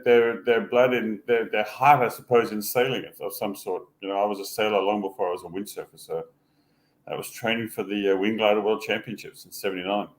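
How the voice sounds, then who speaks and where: A man in his sixties, from Hong Kong, telling his life story as a podcast guest, halting often with fillers and restarts. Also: fairly low pitch. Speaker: guest, former sail designer. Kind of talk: casual podcast interview.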